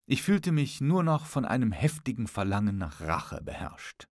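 A man narrating a literary audiobook in a measured, expressive storytelling manner. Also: mid-range voice, no echo, studio quality.

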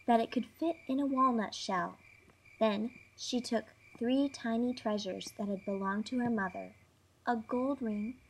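A woman's voice: fairly high pitch, a little hiss or hum, poor audio. A woman reading a children's fairy tale aloud as a storybook narrator. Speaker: storybook reader.

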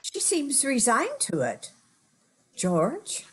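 A woman's voice: high-pitched, huge pitch swings, very slow, slight background noise, full character voice. A woman playing a sweet, coy sweetheart in an amateur old-time radio play. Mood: gentle. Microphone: computer on video call.

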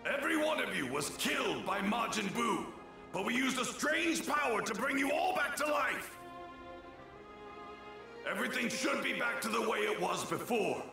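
A proud alien warrior with a gravelly, echoing voice over game music. He is commanding and impatient, swinging from low growls to high barks as in an anime game cutscene. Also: lively intonation, normal speed, full character voice. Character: proud, gruff, imperious male alien warrior, barking orders. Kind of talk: English dub of an anime video game cutscene.

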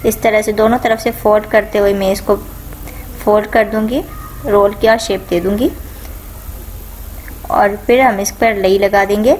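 A woman hosting a home cooking channel, calmly talking viewers through each step of a recipe in a voice-over. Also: medium pitch, measured pace, pauses often, slight background noise, rough recording. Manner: calm, instructive, repeats each step.

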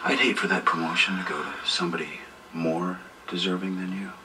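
A man's voice: deep voice, fairly even intonation, fairly quick, pushed and bright. A man acting as a sleazy, insinuating boss in a workplace training drama. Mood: smarmy. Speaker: actor in a training film. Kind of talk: acted corporate training drama. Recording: distant, noisy, re-filmed off a TV.

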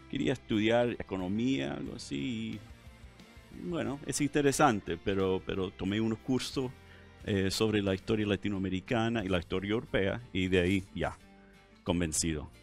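A middle-aged man with a deep, low voice talks in Spanish carrying a blended Chilean, Mexican and American accent. He hesitates and restarts often, chatting as a guest on a headset mic in a TV studio. Faint music sits in the background. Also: normal speed, creaky. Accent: mixed Chilean, Mexican and American Spanish. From California, United States. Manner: hesitant, many fillers and restarts. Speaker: guest historian. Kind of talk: relaxed interview conversation. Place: TV studio set.

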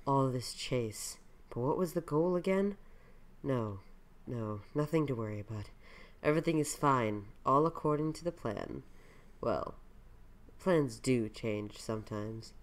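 A dubber voicing a brooding, scheming man who muses slowly and quietly over his plans in a comic dub. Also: medium pitch, animated. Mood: musing, ominous.

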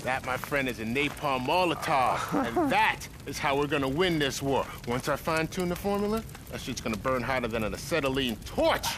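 A man's voice: mid-range voice, very animated, a little fast, few pauses, background noise, close to an everyday voice. An actor playing a manic, cocky male explosives tinkerer in a video game, boastful and whooping. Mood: boastful, excited. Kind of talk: video game cutscene acting.

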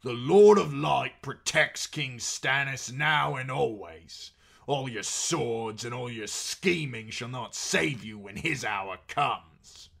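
Man playing a defiant, forceful zealot prisoner. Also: mid-range voice, takes it slowly, creaky, pitched above the performer's own voice, exaggerated voice. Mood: defiant warning. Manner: declamatory, scornful. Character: defiant bastard nobleman, zealous, scornful prisoner.

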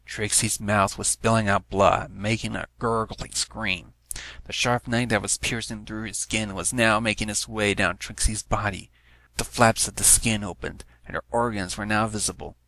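A man's voice: on the low side, level tone, normal speed, pushed and bright, no room sound. A man narrating a written story, reading it aloud as scripted prose.

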